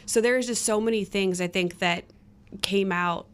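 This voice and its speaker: A woman's voice: mid-range voice, unhurried, smooth. A woman who works as a sports host and reporter, talking casually in a roundtable discussion.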